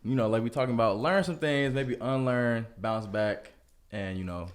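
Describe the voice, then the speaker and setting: Man, 25, podcast guest; easygoing, musing, medium pitch. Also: normal speed. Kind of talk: casual podcast chat.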